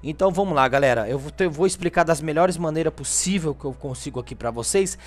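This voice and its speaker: Higher-voiced Brazilian man, chatty game commentary. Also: fast talker. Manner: informal, chatty, frequent tag questions. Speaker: gaming channel host. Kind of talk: casual video game walkthrough commentary.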